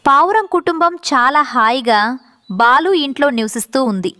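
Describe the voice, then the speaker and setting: A woman reading scripted narration for a children's animated story. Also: high-pitched, normal speed, dry recording, studio quality.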